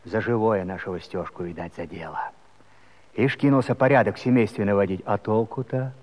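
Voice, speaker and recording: Male actor, skeptical village Cossack, lowish voice, sly commentary, theatrical, long reverb, faint music. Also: fairly quick, a little mellow, lightly acted. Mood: wry. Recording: reverberant hall, music behind.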